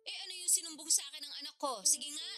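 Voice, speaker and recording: A woman's voice: high voice, steady tone, racing, pushed and bright. A woman playing a defensive, confrontational older sister and protective mother in a Tagalog radio drama. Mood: accusing, indignant. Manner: indignant, challenging.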